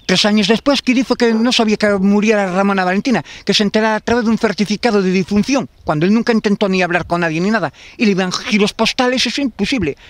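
A man's voice: sits high, quick pace, hardly any pauses, no echo. A man in his fifties with a Galician Spanish accent, talking in a street interview outdoors, rambling and repeating himself with many restarts. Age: 50s. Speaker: tenant facing eviction. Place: outdoors in a garden. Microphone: handheld interviewer mic.

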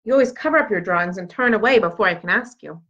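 A woman's voice: mid-range voice, animated, quick pace, a bit bright. Woman acting young schoolboy, friendly, eager, storybook character, slightly muffled recording. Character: friendly, eager young schoolboy who likes sea creatures. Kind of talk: children's storybook read aloud.

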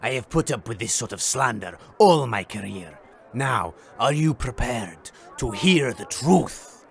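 An actor playing a grandiose, self-important investigative journalist in a comedy radio play, speaking in a pompous, dramatic way. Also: male voice, mid-range voice, expressive, unhurried, creaky. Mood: grandiose, aggrieved.

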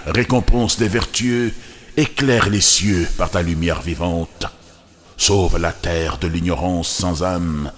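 A man with a Senegalese accent solemnly reciting devotional poetry in measured, reverent, declamatory short phrases. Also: deep voice.

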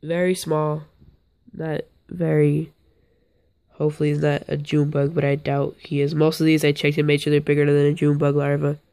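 A young man with a hoarse voice from being a little sick, casually rambling through a hands-on vlog from his floor, often stopping to correct himself. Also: fairly high pitch, little pitch movement, moderate pace, mellow. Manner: rambling, self-interrupting, offhand. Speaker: bug-keeping vlogger. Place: indoors, on a carpeted floor.

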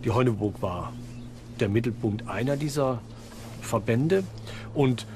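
A man explaining as an expert in a documentary interview, with deliberate, halting phrasing. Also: mid-range voice, noisy background. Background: background music.

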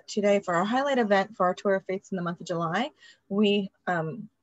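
A woman's voice: mid-range voice, no echo. A woman in her thirties hosting an online event on a video call, speaking casually with hesitant fillers. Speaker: event host. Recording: dull video call audio.

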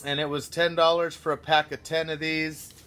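A man in his thirties casually reviewing a power tool in his shop, relaxed and full of fillers. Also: a little high, normal speed. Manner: relaxed, filler-heavy, practical shop talk. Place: under a lowered truck, then inside an auto shop.

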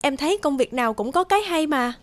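A young woman voicing a polite, deferential new graduate in an animated series. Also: high voice, fast talker. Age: young adult. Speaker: new art graduate in a label-cutting job.

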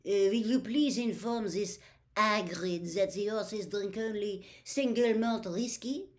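Haughty giant headmistress for a storybook, played by a woman reader: grand, halting, very slow and drawn out. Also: mid-range voice, lightly acted. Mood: haughty, stately. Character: imperious giant foreign headmistress, stately and haughty.

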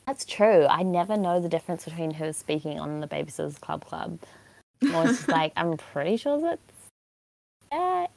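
A woman with a British-Kiwi accent, co-hosting with bubbly, giggly energy. Her voice has a gravelly fry, and the recording sounds dry and close. She sounds a bit self-conscious. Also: on the low side, lively intonation, moderate pace, darkish tone, dry recording, rough recording. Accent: British and New Zealand mix. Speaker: podcast co-host. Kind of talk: casual chat.

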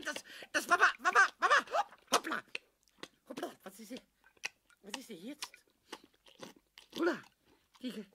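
A man playing a mischievous, childlike kobold in a children's radio play, with a squawky, creaky voice, stammering, repeating himself and slipping into sing-song rhymes. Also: very high-pitched, noisy background, full character voice. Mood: dizzy, complaining. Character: mischievous, childlike little male kobold, tipsy and excitable.

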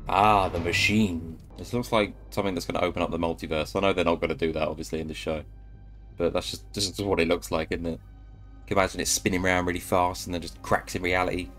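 A young man reacting to a TV episode on a headset mic in a small home room, excitable, swearing and calling out to the screen. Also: sits low, fast talker, soft background music. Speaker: reaction video host. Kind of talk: casual reaction commentary.

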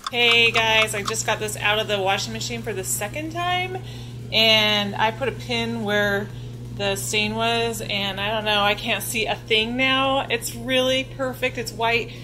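A woman's voice: on the high side, fairly even intonation, a little slow, bright, forceful tone, poor audio. A woman hosting a cleaning vlog, talking casually and informally through a product test. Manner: informal, chatty. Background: music.

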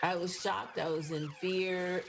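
A woman's voice: lowish voice, steady tone, unhurried, creaky. A woman in her sixties giving answers in a television news interview. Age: 60s. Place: indoor room. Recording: noisy replayed remote interview.

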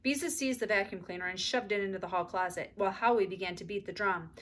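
A woman's voice: medium pitch, quick pace. A middle-aged woman narrating a children's book expressively, reading aloud indoors at home. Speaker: read-aloud storyteller.

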